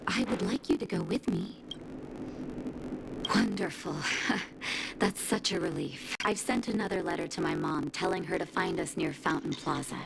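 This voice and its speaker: An actress playing a kind, caring adult doctor in a game cutscene, speaking gently and earnestly. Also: female voice, sits low, normal speed, vocal fry, long pauses, very noisy. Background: game music.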